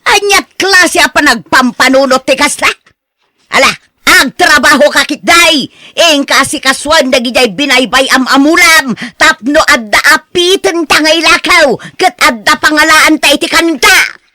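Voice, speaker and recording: A woman's voice: high-pitched, a little slow, pushed and bright. A woman acting an exasperated, sighing younger village woman in an Ilocano radio drama, with an Ilocano accent. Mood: scolding, impatient.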